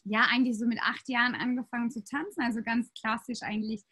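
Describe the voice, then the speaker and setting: Bright-voiced young woman from Austria. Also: fairly high pitch, fast talker, slightly rough. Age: 20s. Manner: lively storytelling with many fillers. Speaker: guest yoga teacher. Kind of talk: casual interview talk.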